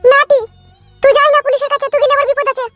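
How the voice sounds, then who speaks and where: Pushy old auntie, squeaky fast cartoon voice. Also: female voice, little pitch movement, fairly bright tone, soft background music. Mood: bossy. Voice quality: bossy, scolding. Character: bossy older village aunt, scheming.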